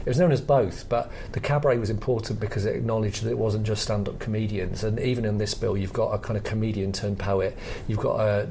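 A man's voice: fairly low pitch, fairly even intonation, fast, creaky, few pauses, mellow, a little hiss or hum. A middle-aged man with a British accent co-hosting a podcast, talking through comedy history in a reflective, hesitant, explanatory way. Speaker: podcast co-host. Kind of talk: conversational analysis of comedy history.

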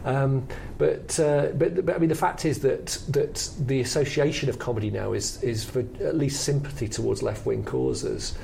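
A man's voice: medium pitch, moderate pace, creaky, few pauses, mellow, a little hiss or hum. A man co-hosting a podcast, talking in a reflective, explanatory, conversational way. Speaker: podcast host and comedy academic.

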